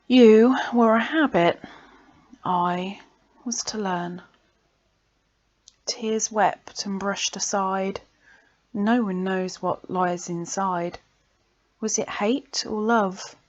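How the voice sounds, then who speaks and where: A woman reciting her own poems in an expressive, dramatic way, with breathy pauses that dip toward a whisper. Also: medium pitch. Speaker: poet reading own poems. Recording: dull lo-fi home recording.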